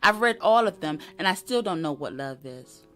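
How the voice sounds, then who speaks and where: A woman giving a dramatic poetry reading in a deliberate, expressive manner. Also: fairly low pitch. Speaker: poetry reader. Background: acoustic guitar music.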